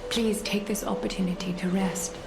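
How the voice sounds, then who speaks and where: An actress playing a solemn, regal woman leader in a fantasy video game, with measured, formal, oracular speech. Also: mid-range voice, fairly even intonation, creaky, lightly acted. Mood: solemn, hushed. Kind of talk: dramatic video game voice acting. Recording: echoey, with music underneath.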